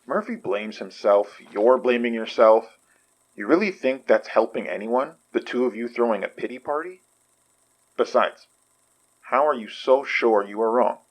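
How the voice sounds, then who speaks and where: A man acting in an audio horror drama as a blunt, level-headed, sharp-minded investigator. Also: medium pitch, expressive, normal speed, natural voice, rough recording. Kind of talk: audio fiction podcast acting.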